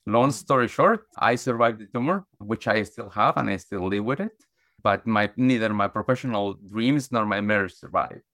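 A man's voice: lowish voice, normal speed, a bit bright, no echo, studio quality. A middle-aged man from Guatemala, a podcast guest, telling his life story earnestly with many restarts and fillers. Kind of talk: conversational storytelling.

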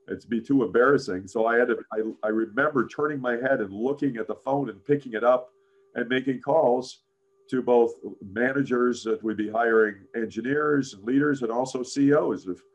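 A man in his sixties with a deep voice, a guest in a casual interview, telling career stories with many "frankly"s and "uh"s. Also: normal speed, rough recording. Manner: frequent "frankly" and "uh", animated anecdotes. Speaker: interview guest, business founder.

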